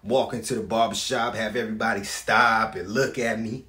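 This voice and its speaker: A man's voice: on the low side, steady tone, normal speed, sharp tone. A man acting a dramatic stage monologue as a proud, wounded grown son speaking to his father. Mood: fond, rising. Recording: echoey room.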